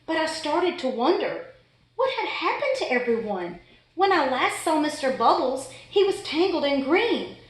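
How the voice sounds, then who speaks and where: Woman acting storybook goldfish, fussy, exasperated, dramatic diary-style delivery, higher-pitched, roomy echo. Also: big pitch swings, normal speed. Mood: wistful, worried. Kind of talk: children's storybook read-aloud. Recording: echoey room.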